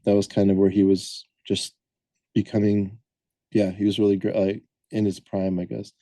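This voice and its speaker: A man co-hosting a podcast, talking casually and loosely with many pauses and filler sounds as he lays out background he has looked up. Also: on the low side, little pitch movement, mellow, dry recording. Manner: rambling, hesitant, lots of "um" and self-corrections. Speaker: podcast co-host presenting the film research.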